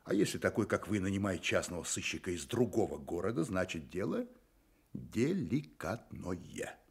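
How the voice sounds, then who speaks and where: An actor playing a sardonic, challenging private detective in a radio play. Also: male voice, low voice, sing-song, moderate pace, vocal fry, husky, exaggerated voice. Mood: defiant, sardonic. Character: defiant, wry male private detective.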